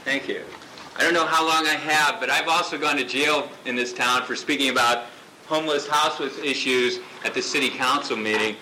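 A man giving an impassioned speech at a public gathering, loud and declaiming, talking without a microphone. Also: mid-range voice, brisk, a little hiss or hum, poor audio. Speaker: activist speaker at a rally. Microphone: off-mic, picked up from a distance.

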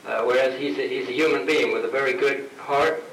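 A man introducing speakers at a rally, halting with restarts as he talks. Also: mid-range voice, steady tone, fairly bright tone. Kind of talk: public address at a rally. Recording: echoey, distant, noisy outdoor recording.

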